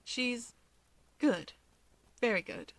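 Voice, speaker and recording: A woman voicing an earnest, gentle, insecure adult sister in a story, speaking carefully but firmly. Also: a little high, lively intonation, extremely slow, vocal fry, no echo, pitched above the performer's own voice, heavily acted. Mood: fond, bashful.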